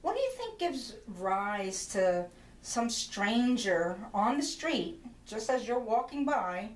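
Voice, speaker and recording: A woman's voice: on the high side, very animated, slow, roomy sound. A woman interviewing a student, asking probing questions and encouraging her with frequent murmured acknowledgments.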